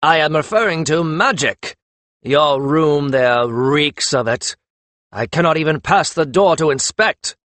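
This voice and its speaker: Furious male magus, acted by a man, imperious, bullying, snarling, drawn-out emphatic delivery, fantasy audiobook. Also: lowish voice, no echo. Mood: enraged. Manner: shouting, snarling. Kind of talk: audiobook character voice.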